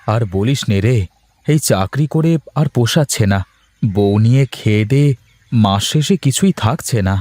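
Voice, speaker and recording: A man's voice: mid-range voice, expressive, normal speed, darkish tone, no room sound, natural voice. A man voicing a weary, worried but proud working husband in a cartoon, with a homely, complaining delivery. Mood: weary, grumbling. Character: weary, worried married office worker, proud and plain-spoken. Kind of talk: Bengali cartoon voice acting.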